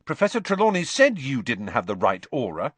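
A man's voice: medium pitch, wildly swooping pitch, brisk, breathy edge, no room sound, pitched above the performer's own voice. A man voicing an earnest, superstitious, hot-headed schoolboy in an audiobook, plain-spoken and easily riled. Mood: heated. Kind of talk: audiobook character voice.

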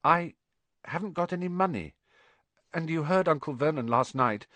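A man's voice: a little high, expressive, moderate pace, fairly bright tone, no echo, voice pushed up. A man doing an eager young boy. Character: young orphan boy, earnest and wide-eyed.

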